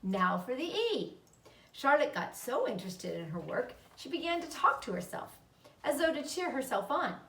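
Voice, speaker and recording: Female storybook narrator, higher-pitched voice, read-aloud delivery, roomy recording. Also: big pitch swings, normal speed, a bit bright. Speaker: storybook reader. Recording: roomy echo, slightly distant mic.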